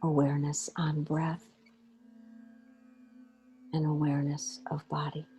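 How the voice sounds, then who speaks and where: A woman leading a guided meditation in a gentle, unhurried way, pausing between short phrases. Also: deep voice, completely flat delivery, faint music behind. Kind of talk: guided meditation, slow soothing instruction.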